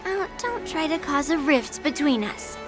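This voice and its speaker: A woman's voice: high-pitched, big pitch swings, a little slow, music in the background. A woman voicing a brash, confident young female sword master in an anime-style game, blunt and teasing. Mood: proud, frank.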